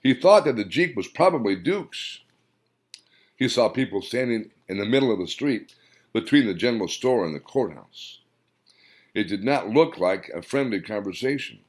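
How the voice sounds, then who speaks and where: A man reads his own novel aloud as an audiobook narrator. His voice sits low and the intonation swings widely. The reading is expressive and dramatic. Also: moderate pace. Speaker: author and narrator.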